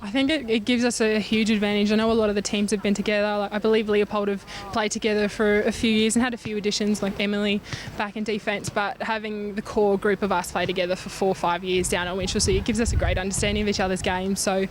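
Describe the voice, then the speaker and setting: A woman's voice: mid-range voice, flat delivery, fast talker, few pauses. Young Australian female athlete, mid-range voice, casual interview style, faint outdoor noise behind. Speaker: netball player. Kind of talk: casual post-match interview. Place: outdoors at a sports reserve.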